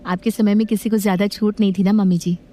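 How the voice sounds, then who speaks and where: A woman playing a practical, respectful daughter-in-law in an animated family story, with an accommodating manner. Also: medium pitch, fast talker, natural voice. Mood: respectful, helpful. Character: polite, practical, capable modern daughter-in-law.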